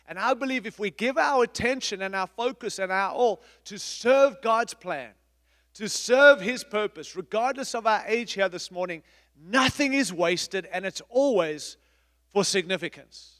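A middle-aged man, a pastor, preaching a sermon in an emphatic, repetitive style with big swings in loudness. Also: sits high, lively intonation, measured pace, big-room sound. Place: church.

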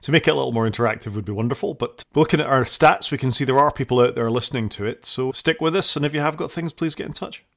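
Male co-host, quick upbeat chatter, gravelly edge. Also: mid-range voice, fairly bright tone. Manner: chatty, upbeat and self-deprecating. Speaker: podcast co-host. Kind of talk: casual chat.

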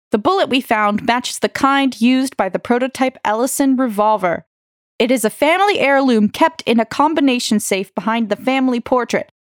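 A woman's voice: fairly high pitch, dry recording, clean studio sound, close to an everyday voice. A woman voicing a firm, no-nonsense police officer who speaks curtly in an audio drama. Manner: firm, curt.

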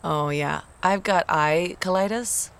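A woman co-hosting a comedic podcast, playing absurd bits with a deadpan, straight-faced delivery. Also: lowish voice, takes it slowly, vocal fry, smooth. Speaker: podcast co-host.

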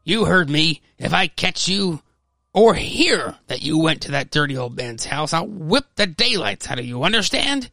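A threatening, angry father, played by a man in a radio play style reading. The voice is forceful and pitched up, with faint music behind. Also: big pitch swings, moderate pace, no echo, heavily acted.

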